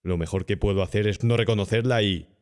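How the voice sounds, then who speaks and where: A man voicing a cynical, worldly young male doctor in an audiobook, calmly persuasive and a little insolent. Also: on the low side, fast talker, natural voice. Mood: calm cynical persuasion. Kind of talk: audiobook character acting.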